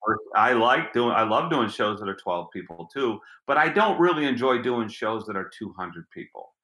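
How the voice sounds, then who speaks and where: A middle-aged man with a gruff voice, a street magician answering interview questions in a rambling way, often repeating words as he thinks. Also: lowish voice, big pitch swings, moderate pace, sharp tone, reverberant, low-quality recording.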